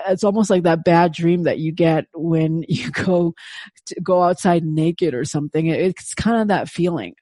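A woman's voice: on the low side, mellow, no room sound, studio quality. A woman whose first language is Indonesian, telling a personal story in a reflective, conversational way with frequent "right?" asides.

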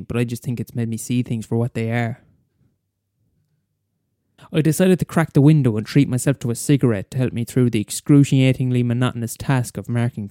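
A man playing jaded middle-aged male teacher, wry confessional storytelling, mellow dark tone, very dry sound. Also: medium pitch, dry recording, close to an everyday voice. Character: jaded bitter middle-aged male schoolteacher, weary and cynical. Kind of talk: first-person dramatic monologue.

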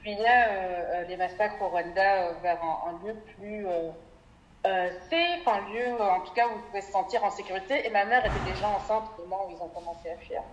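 A woman's voice: medium pitch, brisk, muffled recording. A woman, giving thoughtful, articulate answers as an interview guest. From Belgium. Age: 30s. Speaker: interview guest, development NGO worker. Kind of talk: reflective personal interview answers. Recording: echoey room with clear background noise.

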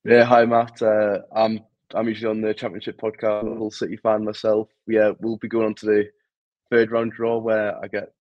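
A man's voice: lowish voice, completely flat delivery, normal speed, dry recording, highs cut off. A man with a Geordie accent, co-hosting a casual football podcast, frank and self-deprecating. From Newcastle, England. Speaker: podcast co-host.

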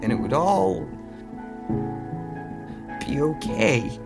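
Slow, gravelly, haunted amnesiac scholar, acted by a man. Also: on the high side, very animated, music in the background, full character voice. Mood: anguished, desperate. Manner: halting, deliberate short phrases. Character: amnesiac scholar, haunted and guilt-ridden, searching for memories. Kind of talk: dramatic first-person audio drama monologue.

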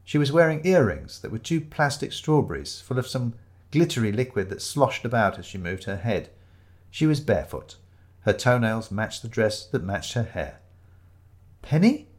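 A man reading a scripted first-person story as narrator, with wry, dry humour. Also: lowish voice. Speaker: audiobook narrator.

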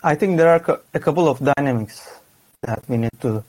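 A man, a football fan guest, giving a measured, subdued, detailed analysis of his team's poor games. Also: on the high side, a little mellow. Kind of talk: analytical casual chat.

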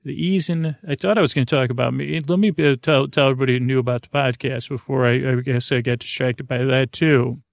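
Creaky-voiced, rambling man. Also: medium pitch, fairly even intonation, brisk, few pauses, no room sound, studio quality. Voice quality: creaky, gravelly. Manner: meandering, hesitant, trailing off and looping back on phrases; lulling, soothing, friendly tone. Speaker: podcast host. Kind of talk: rambling bedtime monologue for a sleep podcast.

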